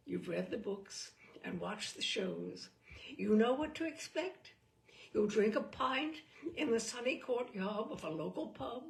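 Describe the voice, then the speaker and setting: A woman reading a humorous book aloud as a storyteller, in a deliberate, theatrical and wry manner. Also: a little high, lively intonation, gravelly. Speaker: reader of humorous book selections. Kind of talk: dramatic storytelling read-aloud. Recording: distant microphone.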